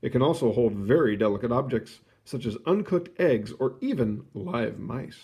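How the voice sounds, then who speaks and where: A man reading a children's nonfiction book aloud, phrasing it clearly and adding short casual asides. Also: medium pitch, expressive, moderate pace, dark tone. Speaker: read-aloud host.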